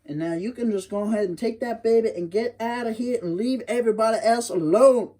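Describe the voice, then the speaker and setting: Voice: medium pitch, fairly quick, warm tone, voice pushed up, close to an everyday voice. A storyteller voicing a clever, stubborn country mother who speaks slyly and defiantly. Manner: sly, defiant. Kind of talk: oral folktale telling.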